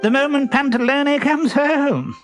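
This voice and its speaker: A man's voice: very high-pitched, a little slow, soft background music, pitched above the performer's own voice. A man voicing a vain, bossy poet suitor in a storybook, with a theatrical, chuckling delivery that savours every rhyme. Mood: smug, gleeful. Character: vain, giggling suitor poet with gap teeth, bossy and smug.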